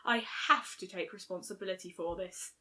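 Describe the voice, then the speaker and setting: A woman voicing a regal, guilt-ridden mare princess in a story reading, speaking in a measured, grave, formal way. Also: mid-range voice, sharp tone, pitched below the performer's own voice. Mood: solemn, resolute. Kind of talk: fan fiction story reading.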